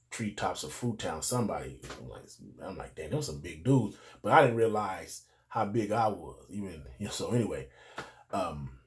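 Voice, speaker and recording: Low-voiced American man telling stories casually. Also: a little mellow. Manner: rambling, digressive, street slang. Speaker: storyteller recalling his youth. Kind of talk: casual personal storytelling.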